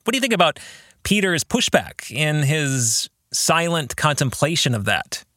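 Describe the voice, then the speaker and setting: Male podcast host for reflective book discussion: slightly high-set voice, dry close sound, earnest and questioning. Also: big pitch swings, a little slow, pushed and bright, no room sound.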